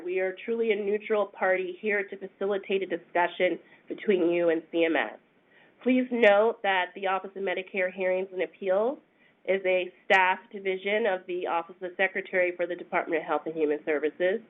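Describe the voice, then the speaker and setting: A congested woman, a senior attorney, explaining a program in a webinar in a measured way with frequent pauses. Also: fairly low pitch. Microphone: phone conference line. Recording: tinny phone line.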